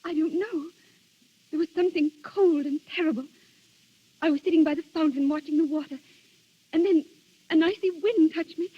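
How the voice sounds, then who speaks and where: A woman's voice: high-pitched, normal speed, long pauses, close to an everyday voice. An actress playing a delicate, dreamy young noblewoman, earnest and theatrical in 1930s radio style. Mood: terrified. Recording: dull 1930s radio recording.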